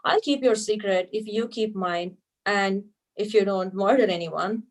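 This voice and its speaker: A woman's voice: mid-range voice, unhurried, lightly acted. A woman playing a wry, guarded fiancée in a scripted table read, with a dry, skeptical delivery. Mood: wary. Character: wry, guarded young woman engaged to a spy.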